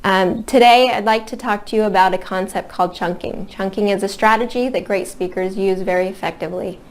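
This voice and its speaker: A woman's voice: mid-range voice, fairly even intonation, brisk. A woman reading aloud a short talk as a demonstration sample. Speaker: speaker giving a sample reading.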